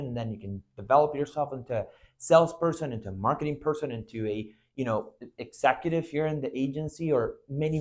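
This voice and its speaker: A man from Venezuela in his twenties, an agency owner speaking earnestly in a recruitment video, with frequent "you know" and "right" fillers. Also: medium pitch.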